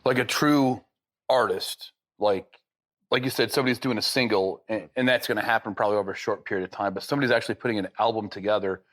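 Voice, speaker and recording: A man's voice: lowish voice, steady tone, fast, fairly bright tone. A man hosting a podcast interview, talking in a loose, rambling way full of fillers. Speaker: podcast host. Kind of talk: casual interview chat.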